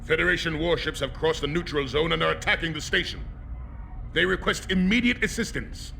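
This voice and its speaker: Gravelly, higher-pitched alien bridge officer. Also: male voice, fairly quick, sharp tone, a little hiss or hum, heavily acted. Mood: urgent. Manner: formal military reporting. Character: dutiful Klingon bridge officer, alien warrior subordinate. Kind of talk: dramatic sci-fi game acting.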